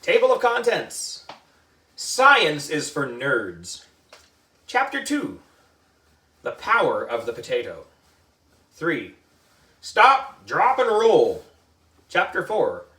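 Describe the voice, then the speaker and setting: Animated man reading aloud in an echoey room. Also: fairly high pitch, slow, pauses often. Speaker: book reader for a children's book club. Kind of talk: animated children's story reading. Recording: echoey room, distant mic.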